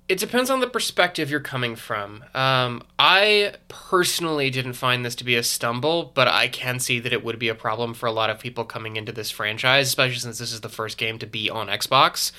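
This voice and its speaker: A man with a congested, stuffy voice, chatting casually as a podcast guest in a thoughtful, measured way. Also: mid-range voice, bright, forceful tone. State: congested from allergies.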